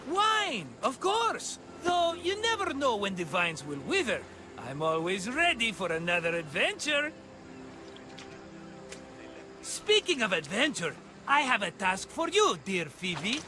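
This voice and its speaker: An actor playing a jovial, scheming middle-aged rogue in a video game, with a theatrical, effusive manner. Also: male voice, high voice, huge pitch swings, unhurried, pushed and bright, full character voice. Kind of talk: cinematic video game acting.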